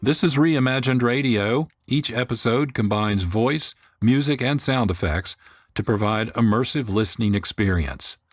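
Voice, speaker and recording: A man hosting a radio programme with measured, scripted announcer-like narration. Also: mid-range voice, no echo, studio quality, faint music behind. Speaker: producer and host.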